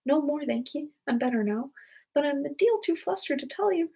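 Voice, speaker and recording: A woman voicing a feeble, flustered little old male creature from a storybook, squeaky and rambling. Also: lively intonation, moderate pace, vocal fry, mellow.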